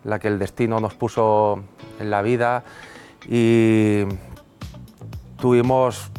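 A man's voice: lowish voice, little pitch movement, slow, darkish tone, soft background music. A man in a band answering interview questions in a hesitant way, full of fillers and restarts. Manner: hesitant, many fillers and repeated words. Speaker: band member.

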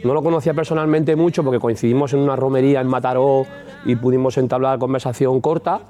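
A man's voice: medium pitch, steady tone, fast talker, dark tone. A man from Spain, a singer, talking through his story in a reflective, rambling way with frequent restarts. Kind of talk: interview answers.

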